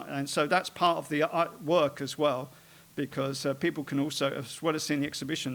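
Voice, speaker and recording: A man, an artist, talking informally about his own work, halting often with fillers and restarts as he rambles through it. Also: fairly high pitch, a bit bright.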